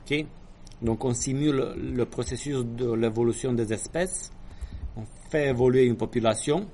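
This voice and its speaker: A man's voice: mid-range voice, normal speed, dark tone, a little hiss or hum. A man giving a university lecture, explaining step by step with hesitations, apologetic asides and small jokes.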